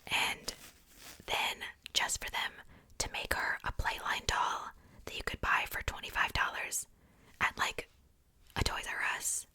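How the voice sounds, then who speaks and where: A woman whispers close to the microphone in an ASMR style. Her voice is unvoiced and breathy, with a very bright, sibilant edge. She talks softly and without hurry, as in a casual, chatty show-and-tell. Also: a little slow. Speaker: ASMR video creator. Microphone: close sensitive microphone.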